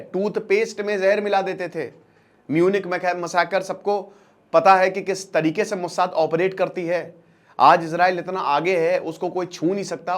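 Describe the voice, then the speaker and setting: Higher-pitched man, explainer host. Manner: animated colloquial storyteller, emphatic repetition, rhetorical questions. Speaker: online educator and channel host. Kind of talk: informal explainer lecture with storytelling.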